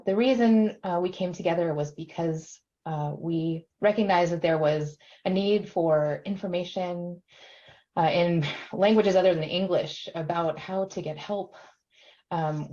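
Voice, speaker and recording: Woman explaining steady with lots of ums, low mellow voice, kinda dull lo-fi sound. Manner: steady, with frequent fillers. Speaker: extension professional. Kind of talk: documentary interview. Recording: dull lo-fi recording.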